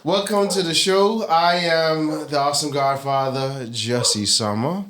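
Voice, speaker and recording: A man with a Bahamian accent hosting a podcast in casual, teasing, animated group banter. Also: fairly high pitch, a little slow, roomy sound, background noise. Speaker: podcast host.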